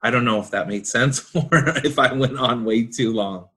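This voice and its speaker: A man explaining technical standards in a panel discussion as a consultant, in a halting, self-correcting way. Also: medium pitch, a bit bright.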